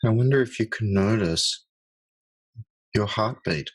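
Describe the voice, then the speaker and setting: Husky, low-voiced male meditation guide. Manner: slow, soft-spoken, with long pauses.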